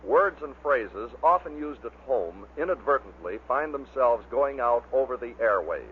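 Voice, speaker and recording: A man's voice: mid-range voice, lively intonation, moderate pace. A man reading scripted narration that introduces clips, as the narrator of a compilation. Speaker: narrator of a radio bloopers compilation. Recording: muffled vintage narrow-band recording with hiss.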